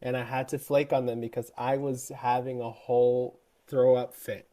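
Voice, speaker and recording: A young man chatting on a podcast with a playful, sarcastic edge. His pitch sits in the middle of the range. He talks loosely and teases his co-host. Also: normal speed. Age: young adult. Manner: joking, animated, deadpan sarcasm. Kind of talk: casual chat.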